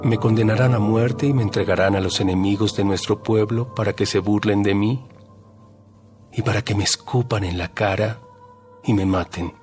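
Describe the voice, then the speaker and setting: A man playing a calm, authoritative male teacher, speaking in a measured, solemn way in a dramatized audio Bible. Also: on the low side, close to an everyday voice. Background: soft music.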